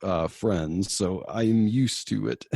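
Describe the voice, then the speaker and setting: Slow, mellow low-voiced man being interviewed. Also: creaky, slightly rough, dry recording, clean studio sound. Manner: measured, explanatory, hesitant pauses. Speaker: podcast guest, fellow podcaster. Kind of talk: conversational interview answers.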